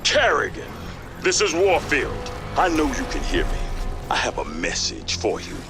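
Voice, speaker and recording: A man's voice: on the high side, wildly swooping pitch, a little slow. An actor playing a hardened older army general who barks commands and taunts his enemy in a game cutscene. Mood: defiant. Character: hardened old male army general, defiant. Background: music.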